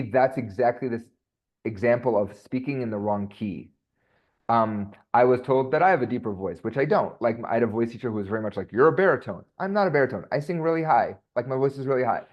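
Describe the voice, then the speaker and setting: A male voice coach guest in a casual podcast interview, talking in an animated, rambling way with lots of fillers. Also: mid-range voice. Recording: dull lo-fi sound.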